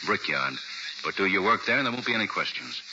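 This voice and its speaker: A man playing a calm, fatherly assistant district attorney in a 1940s radio drama, measured and reassuring. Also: low voice. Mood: kindly. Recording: old 1940s radio broadcast, hissy and dull.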